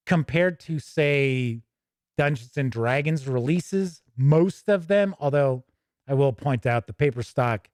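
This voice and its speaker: A man hosting a casual, unscripted tabletop game review, conversational and opinionated, thinking aloud with pauses mid-sentence. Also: medium pitch, darkish tone, no room sound, studio quality. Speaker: host reviewing tabletop games.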